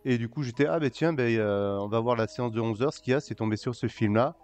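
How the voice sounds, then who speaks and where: A man in his forties, a new panelist on a film podcast, telling stories in a relaxed, unhurried way. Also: mid-range voice. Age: 40s. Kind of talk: casual film chat.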